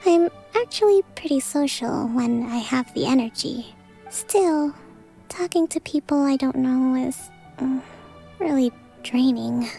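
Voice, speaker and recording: A gentle young priestess who rules her people and is worn out by the job. She talks in a soft, tired half-whisper with a high, gravelly edge. Faint game music plays under her lines. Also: measured pace. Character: young woman priestess leader, gentle and weary. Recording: game dialogue with background music.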